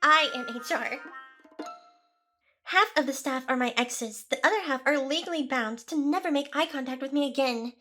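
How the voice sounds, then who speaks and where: A high, feminine voice for a demon boss , acted out by a woman. She is smug, teasing and possessive, delivering her lines with theatrical seduction in an ASMR roleplay. Soft music plays faintly underneath. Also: moderate pace. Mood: amused, indignant.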